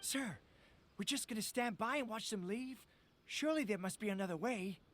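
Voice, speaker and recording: An actor voicing a deferential young soldier who pleads with a commander in a video game. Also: male voice, sits high, moderate pace, a bit bright, a little hiss or hum. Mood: anxious, pleading.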